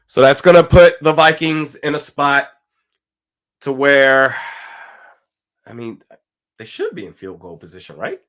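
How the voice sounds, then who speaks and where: A man whose voice runs a little raspy and hoarse from weeks of yelling. He calls plays as they happen and chats loosely with his viewers, speaking at a medium pitch. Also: lively intonation, measured pace, pauses often. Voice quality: slightly raspy. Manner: casual, talks to chat, reads comments aloud. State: hoarse from screaming. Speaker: watch party streamer. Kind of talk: live game commentary and chat reaction.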